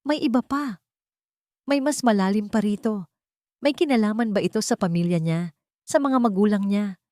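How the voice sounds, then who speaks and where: A woman's voice: medium pitch, moderate pace, warm tone, no echo, voice pushed up, natural voice. An angry, wounded grown son in a radio drama, acted out by a woman. The delivery is emphatic and accusing, pleading for the truth. The sound is close and dry. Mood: shouting, anguished. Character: angry anguished grown son confronting his mother. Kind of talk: Tagalog radio drama acting.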